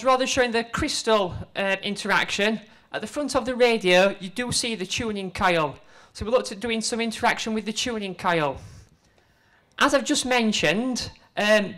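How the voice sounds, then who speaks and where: A middle-aged woman giving an informal conference presentation into a handheld microphone, conversational, halting and self-deprecating. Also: medium pitch. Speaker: conference speaker, museum exhibit developer. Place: indoor presentation room before a seated audience.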